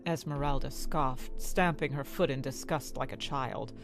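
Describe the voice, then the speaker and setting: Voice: medium pitch, fairly even intonation, a little slow, creaky. A narrator reading a scripted fantasy story in the third person. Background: music.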